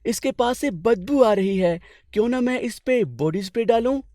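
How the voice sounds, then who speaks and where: A lazy, foolish servant from a comic cartoon tale, acted out by a man. The voice sits high and swings about, full of naive questions and whining. It is recorded close and dry, with a sly edge. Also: expressive, moderate pace, mellow, no echo. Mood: naive, protesting.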